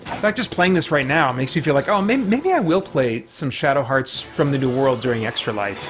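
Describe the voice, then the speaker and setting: A man's voice: mid-range voice, expressive, a little fast, soft background music. A man hosting a video game livestream with casual, rambling commentary, breaking off mid-thought to react to the game and chat.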